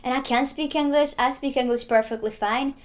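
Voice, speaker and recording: A woman's voice: high voice, steady tone, fairly quick. A teenage girl born in Hungary, talking candidly in a news interview. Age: teens.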